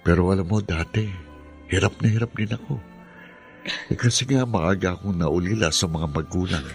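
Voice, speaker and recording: An actor playing a kind, steady young husband in a Filipino radio drama, sincere and understanding, with a Filipino accent. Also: male voice, sits low, moderate pace, creaky, faint music behind.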